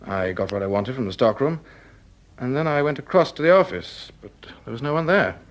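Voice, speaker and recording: A man's voice: mid-range voice, expressive, brisk, close to an everyday voice. An actor playing an obliging, earnest scientist in a radio drama, speaking politely and precisely as he explains things. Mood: uneasy, confiding.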